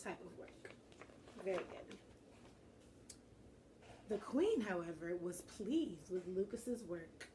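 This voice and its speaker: A woman teacher doing an animated storybook read-aloud, encouraging and pausing with questions for young listeners. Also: medium pitch, a little hiss or hum, rough recording. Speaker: teacher reading a picture book aloud to children.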